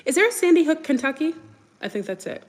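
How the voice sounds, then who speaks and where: A woman's voice: high voice, sing-song, fast. Rambling woman livestreaming in a reverberant room. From United States. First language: French. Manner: rambling, hesitant, lots of fillers and restarts. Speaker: livestream host. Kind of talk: casual livestream chat with viewers.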